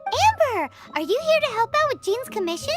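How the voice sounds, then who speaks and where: A woman's voice: big pitch swings, fairly quick. A woman voicing a tiny, chirpy, nosy female companion creature who talks about herself in third person, in a video game. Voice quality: high and squeaky. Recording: game music behind.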